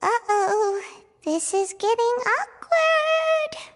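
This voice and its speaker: Squeaky little fairy sidekick. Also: female voice, animated, extremely slow, heavily acted. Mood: uneasy. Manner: cheeky, snide asides. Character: small, cheeky, childlike floating fairy companion. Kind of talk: English video game voice acting. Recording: long reverb, music underneath. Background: game music.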